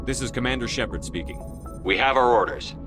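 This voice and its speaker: A man's voice: mid-range voice, a little fast, over music, close to an everyday voice. A man playing a resolute military commander in a video game, firm and terse in manner. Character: determined human military commander, male, resolute leader. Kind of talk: dramatic video game acting.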